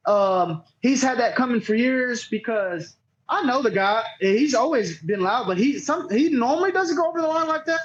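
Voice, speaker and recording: A man's voice: extremely high pitch, lively intonation, moderate pace, muffled recording, slight background noise. A man being interviewed as a podcast guest, telling a story casually in a rambling, profane way and often quoting others. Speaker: podcast guest, cornhole player.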